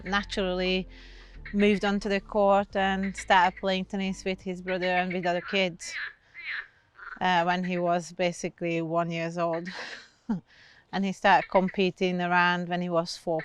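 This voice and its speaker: A woman talking in an interview as the parent of a young athlete. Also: lowish voice. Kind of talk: interview talk.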